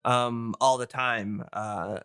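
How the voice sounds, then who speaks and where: A man with a bright, smooth voice in a dry, close recording. He talks casually, as an enthusiast explaining on a podcast. His delivery is halting and rambling, padded with fillers. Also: medium pitch, steady tone, dry recording. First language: English. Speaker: podcast speaker on old-time radio.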